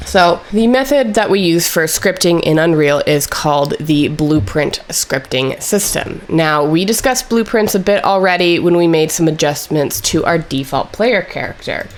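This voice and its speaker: A woman with a notably low voice, still getting over a cold, talks through a software tutorial as the instructor. She explains step by step in a measured way, often pausing on "uh" and "basically". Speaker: course instructor. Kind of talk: screen-recorded software tutorial.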